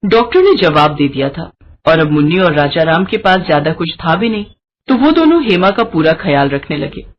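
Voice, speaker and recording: A woman's voice: fairly low pitch, sing-song, moderate pace, lo-fi sound. A woman narrating a scripted moral story for a cartoon.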